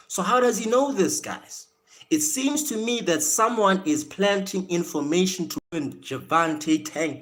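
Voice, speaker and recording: A man's voice: on the high side, fairly bright tone. A man with a South African accent mixed with American, hosting a casual live stream in slangy talk and often repeating his words and phrases. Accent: South African with American influence. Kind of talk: casual boxing talk, chatting to viewers.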